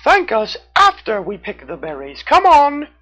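A motherly raccoon from a storybook, high-voiced and soothing, voiced by the storyteller. The voice is husky and swoops widely, on a dull lo-fi recording. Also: wildly swooping pitch, unhurried, pushed and bright, heavily acted. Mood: consoling. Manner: soothing, coaxing.

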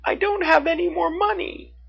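A storyteller voicing an excitable, timid little girl in a children's story, breathless and high. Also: slow pace, slightly rough, full character voice. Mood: girlish. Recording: dull lo-fi.

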